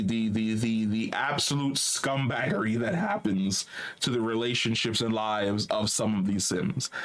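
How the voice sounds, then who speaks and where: A man runs through the day's gaming news in a loose, conversational way. He swears without a second thought and stumbles over repeated words as he thinks aloud. His voice sits at an ordinary middle pitch for a man. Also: fairly bright tone. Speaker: gaming news show host. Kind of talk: casual gaming news commentary.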